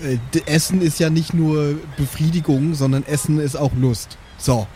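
A German man with a fairly high voice plays a hearty, food-loving old sailor in a live tabletop game. His jokey lines come with a faint hiss behind them. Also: fairly even intonation, normal speed, mellow. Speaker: player voicing an old sailor. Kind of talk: improvised in-character roleplay.

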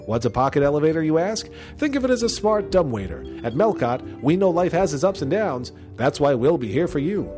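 A man playing an earnest, upbeat pitchman in a parody old-time radio commercial, salesmanlike and full of rhetorical questions. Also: sing-song, fairly quick, hardly any pauses, over music.

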